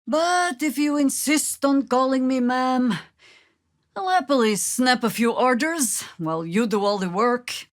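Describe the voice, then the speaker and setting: An actress playing a seasoned, middle-aged druid woman in a video game, a wry and stern resistance fighter who speaks with measured, commanding dryness. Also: sits high, dry recording, studio quality. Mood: dry, serious. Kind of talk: video game character dialogue, dramatic acting.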